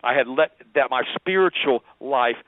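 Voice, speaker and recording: A man's voice: mid-range voice, moderate pace, no room sound. A man in his fifties, a native German speaker, telling a personal story as a radio guest, earnest and rambling, often repeating words. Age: 50s. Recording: dry tinny phone line.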